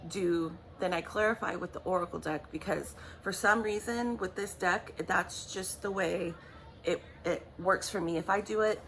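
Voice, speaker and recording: A woman giving a tarot reading in a chatty, rambling way, apologizing often, her voice raspy from just waking up. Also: on the low side, a bit bright, slight background noise. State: raspy morning voice. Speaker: tarot reader. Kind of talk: casual vlog talk.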